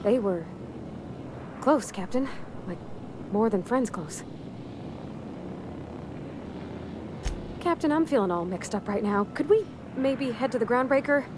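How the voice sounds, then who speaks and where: An actress playing a sweet, shy young ship mechanic in a video game, speaking with a rural Southern American accent in a folksy, hesitant, earnest way. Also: female voice, on the high side, lively intonation, moderate pace, noisy background, close to an everyday voice. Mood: confused, hurt. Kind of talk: video game character acting.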